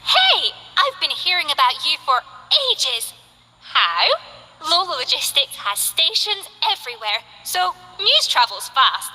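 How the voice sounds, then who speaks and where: A woman's voice: high voice, sing-song, a little slow, sharp tone, full character voice, rough recording. A woman voicing a cheerful, chatty young female delivery courier in a video game. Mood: upbeat. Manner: bubbly, chatty, eager.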